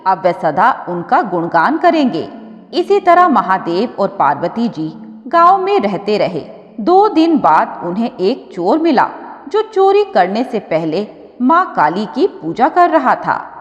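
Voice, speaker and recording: A woman reading a scripted devotional story in an expressive, measured storytelling manner. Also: mid-range voice. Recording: dull audio with long reverb.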